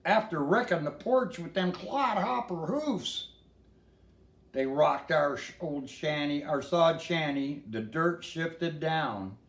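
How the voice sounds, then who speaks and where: A man's voice: fairly high pitch, lively intonation, slow, roomy sound. An older man reading a storybook in verse in a folksy way, with casual asides to a listener.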